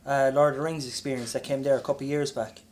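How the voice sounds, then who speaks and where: A man's voice: medium pitch, fairly even intonation, quick pace, a little mellow. A man co-hosting a podcast, chipping in casually with short remarks and questions. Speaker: podcast co-host. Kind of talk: casual chat, short interjections.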